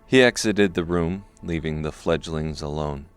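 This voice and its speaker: A man reading scripted dark fantasy narration as an audiobook narrator. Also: a bass voice, a little slow, smooth, soft background music.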